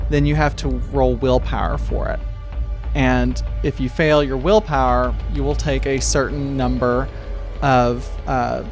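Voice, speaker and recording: Man narrating over music, pausing to think. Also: medium pitch. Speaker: game master. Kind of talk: improvised tabletop game narration. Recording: music under the voice. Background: background music.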